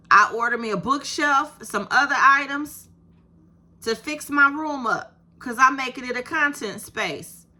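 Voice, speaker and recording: A woman in her fifties with a soft voice, talking straight to her viewers in a casual, encouraging way and calling them "baby". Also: high-pitched. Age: 50s. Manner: animated, encouraging, talks to viewers with "baby" and "you hear me". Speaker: YouTube creator. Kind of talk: casual direct-to-camera talk.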